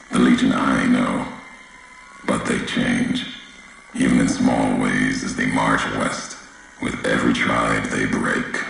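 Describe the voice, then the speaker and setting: A grim wasteland wanderer from a video game, his voice extremely low and thick with gravel. He speaks slowly, in clipped, cryptic fragments that sound brooding and ominous. Heavy echo surrounds every word. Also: fairly bright tone, heavily acted. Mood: brooding, measured. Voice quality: very deep, gravelly. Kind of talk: video game character dialogue. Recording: heavily reverberant, faint background noise.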